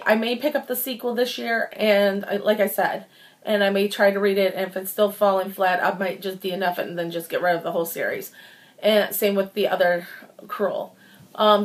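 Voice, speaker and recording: Woman casually rambling through book reviews. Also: mid-range voice. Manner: informal and rambling, thinking aloud, repeating words for emphasis.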